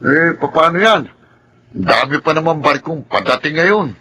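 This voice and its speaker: A man's voice: fairly high pitch, measured pace, bright, forceful tone, breathy edge, heavily acted. A man with a Filipino accent playing a concerned working man from the docks in a radio drama. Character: concerned dockworker, plain-spoken working man. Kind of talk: Tagalog radio drama acting.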